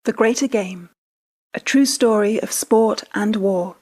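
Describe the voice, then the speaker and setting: A woman's voice: medium pitch, a little slow, a little mellow. A woman reading a scripted introduction as an announcer. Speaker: podcast announcer. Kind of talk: scripted narration.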